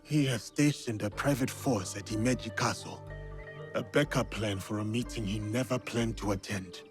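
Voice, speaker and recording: A man's voice: lowish voice, normal speed, lightly acted. A man playing a loyal, cautious retainer to a feudal Japanese lord in a video game, formal and earnest. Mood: sober, concerned. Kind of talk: cinematic video game acting. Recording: clear music behind the voice. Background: clear background music.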